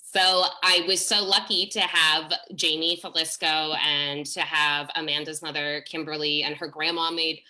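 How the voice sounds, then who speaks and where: A woman's voice: low-pitched, level tone, moderate pace, few pauses, pushed and bright, poor audio. A woman speaking as a play director in a casual panel chat, effusive and emphatic.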